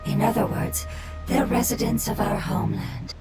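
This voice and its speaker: A woman voicing a calm, devoted forest spirit who speaks softly and earnestly. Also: on the high side, over loud music, lightly acted. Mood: solemn. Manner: soft, earnest, measured.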